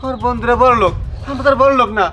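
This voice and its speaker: A man's voice: very high voice, racing, sharp tone, faint music behind. A man voicing an exasperated, poor labourer father in a cartoon drama, with a Bangladeshi accent and a complaining, scolding manner. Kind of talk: cartoon comedy drama dialogue.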